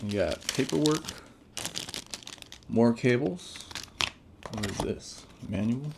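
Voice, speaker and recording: A man's voice: mid-range voice, expressive, slow pace, creaky, background noise. A man giving casual, off-the-cuff unboxing commentary as a tech reviewer, laid-back and informal with plenty of slang.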